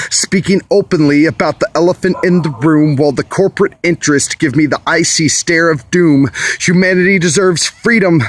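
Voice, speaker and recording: Slightly high-voiced man reciting slam poetry. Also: a bit bright. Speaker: slam poet.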